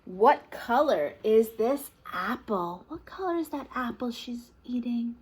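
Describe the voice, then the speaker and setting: A woman's voice: a little high, animated, a little slow, a little mellow, husky. A woman teacher reading a picture book aloud to toddlers, asking encouraging questions in a sing-song, exaggerated way.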